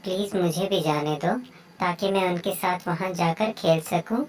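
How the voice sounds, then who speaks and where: A woman's voice: on the low side, fairly even intonation, moderate pace, close to an everyday voice. A woman voicing an eager, playful young bird child in a children's moral story cartoon. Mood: pleading.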